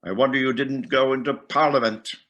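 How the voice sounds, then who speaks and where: A man's voice: medium pitch, fairly even intonation, fairly quick, a bit bright, highs cut off, voice pushed up, lightly acted. A man voicing a miserly, bitter old Victorian businessman in a storybook reading, grumpy and emphatic. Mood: sarcastic.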